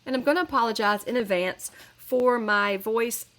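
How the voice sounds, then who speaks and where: Woman, head cold, casual crafting talk, rambling fillers, bright voice. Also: on the high side, normal speed, slight background noise. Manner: chatty, rambling with fillers and restarts. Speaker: crafting channel host. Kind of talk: casual crafting tutorial voice-over.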